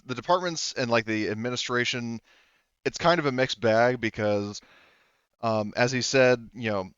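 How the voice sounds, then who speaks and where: A man improvising roleplay dialogue in a halting, rambling, explanatory way with frequent self-corrections. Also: lowish voice, fairly bright tone, clean tone, no room sound, muffled recording. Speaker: tabletop roleplayer.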